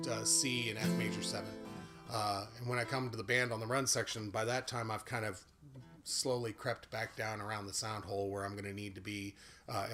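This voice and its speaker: A man giving a casual guitar and singing lesson with a big voice, explaining at an easy pace with frequent "uh" fillers. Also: on the low side. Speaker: guitar and voice teacher. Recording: guitar playing loudly behind the voice.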